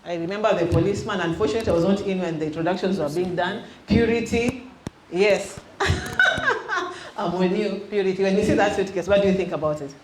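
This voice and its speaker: A woman's voice: medium pitch, lively intonation, brisk. A middle-aged woman from Western Kenya with a Kenyan accent, a youth minister leading an interactive teaching and quizzing her audience. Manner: engaging, quizzes the audience, mixes in Swahili. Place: church hall. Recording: echoey hall with faint noise.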